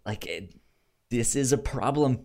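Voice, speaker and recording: Slow-talking man with a halting delivery. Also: mid-range voice, a little mellow. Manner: halting, with stuttered repeats of sounds and words. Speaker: film podcast co-host. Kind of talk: casual film discussion.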